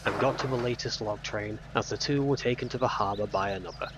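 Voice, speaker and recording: A man narrating a scripted storybook tale in measured phrases with dramatic emphasis. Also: on the low side, noisy background.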